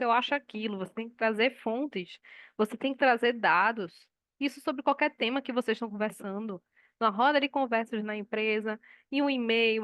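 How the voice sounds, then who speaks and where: A woman teaching a class, her voice sitting a little high. She keeps it conversational, asks her students questions and acts out little bits of quoted dialogue. The sound is dry and close to the mic. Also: no echo. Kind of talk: live online class lecture.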